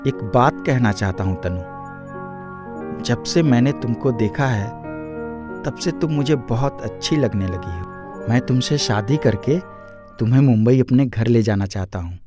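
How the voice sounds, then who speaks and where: A man playing a hot-tempered, demanding young husband and city thug in an animated moral story. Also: mid-range voice, a little slow, lightly acted. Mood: smooth, wooing. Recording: music bed under the voice.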